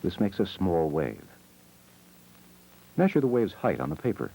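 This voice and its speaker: A man narrating an educational film in a measured, explanatory manner, reading scripted short, simple sentences. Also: low-pitched, very animated, dark tone, low-quality recording. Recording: old VHS educational film soundtrack. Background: music.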